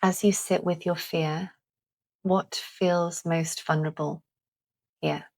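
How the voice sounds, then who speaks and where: A woman leading a calming guided visualisation in a gentle, deliberate manner with long pauses. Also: lowish voice. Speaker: guided meditation host.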